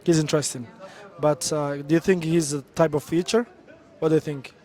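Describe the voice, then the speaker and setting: A young man with a Somali accent runs a street interview vlog outdoors in a city centre, recorded on a handheld camera. He talks very fast in a slightly raised voice, chatty and quick, jumping between two languages. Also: on the high side, fairly even intonation, warm tone.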